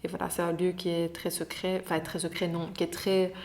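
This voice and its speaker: A woman's voice: lowish voice, a little fast. Chatty woman telling stories. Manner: animated storytelling, many fillers and restarts. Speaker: interview guest. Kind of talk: casual interview conversation.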